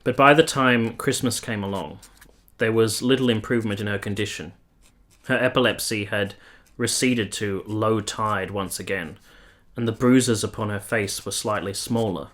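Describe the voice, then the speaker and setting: Male actor as conflicted 1870s military man, radio drama narrator. Lower register, measured literary delivery, formal and introspective. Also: a bit bright, close to an everyday voice. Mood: somber, reflective. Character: brooding, conflicted 1870s soldier narrating his obsession. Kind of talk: literary first-person radio play narration.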